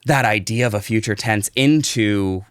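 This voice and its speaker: A man with a clean, resonant voice on the lower side speaks close to a podcast mic in a dry room. He tells and explains things conversationally, often searching thoughtfully for the next word. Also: moderate pace, clear, resonant tone, no room sound. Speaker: podcast guest. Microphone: close podcast microphone.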